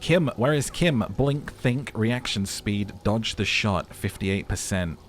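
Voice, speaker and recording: A man with a low voice plays through a story game aloud. He narrates with dramatic storytelling flair and slips into casual, sweary reactions as a let's player. Faint game noise sits behind him. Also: expressive, slow. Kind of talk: game playthrough narration with commentary and voice acting.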